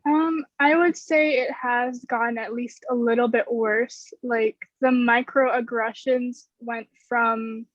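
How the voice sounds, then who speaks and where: A woman's voice: on the high side, steady tone, slow pace, hardly any pauses, no room sound. A young woman student on a video call from her bedroom, speaking hesitantly with many "like" fillers. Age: young adult. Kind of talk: casual discussion on a video call. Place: bedroom with string lights. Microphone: laptop webcam on a video call. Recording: dull, dry webcam sound.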